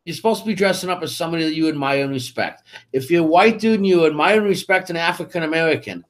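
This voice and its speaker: Co-host, male, high-pitched and insistent, keeps restarting his sentences. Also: fast. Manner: argumentative, emphatic, many restarts. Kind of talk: casual political talk show chat.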